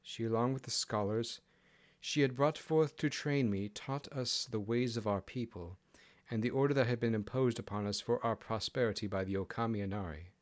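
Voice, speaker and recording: A man's voice: mid-range voice, normal speed, close to an everyday voice. A man voicing a proud, huffy fox queen in an audiobook, with a formal, haughty way of talking. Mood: brooding, questioning.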